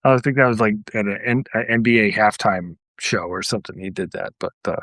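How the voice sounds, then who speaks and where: A man's voice: fairly low pitch, a little fast, a bit bright, no echo, studio quality. A man talking casually as a guest on a podcast.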